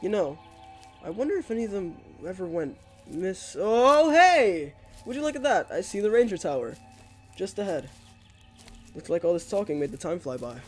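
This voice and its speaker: A man's voice: sits high, very animated, normal speed, soft background music. A man playing an investigative journalist who records a musing, wry field log for an audio drama. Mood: pleasantly surprised. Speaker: journalist narrator of a mystery series.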